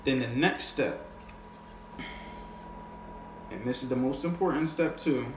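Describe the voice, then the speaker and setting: A man with a mid-pitched voice talks like a sales coach in an unscripted lesson. He is conversational and slangy, often checking that the listener follows. The room is clearly echoey, with faint noise behind him. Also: slow pace. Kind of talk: casual, unscripted instructional talk.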